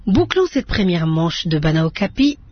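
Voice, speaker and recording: A woman's voice: on the low side, normal speed, a little hiss or hum. A woman hosting a radio magazine, presenting in a scripted, announcing manner. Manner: lively, announcing. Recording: muffled radio broadcast with music underneath.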